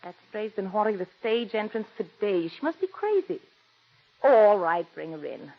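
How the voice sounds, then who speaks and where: An actress playing a grand, vain, weary mature stage star, theatrical and imperious, in a 1940s radio drama. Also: female voice, mid-range voice, sing-song, moderate pace. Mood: weary, dismissive. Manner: theatrical, imperious, darling-dropping. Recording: dull old radio recording.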